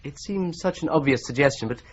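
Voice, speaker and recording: An actor playing a polite, methodical young law clerk who presses his points in a calm, persistent way, in a radio drama. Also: on the high side, a little fast, slight background noise, lightly acted. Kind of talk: 1970s radio drama acting. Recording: slightly dull broadcast recording.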